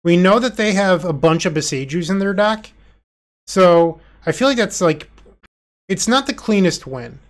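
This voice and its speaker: A man talks through an online card game in a relaxed, casual way, with a congested, nasal voice from a recent illness. He thinks aloud and pokes fun at his own play. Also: high-pitched, measured pace. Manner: self-deprecating, thinking aloud. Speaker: gameplay commentator.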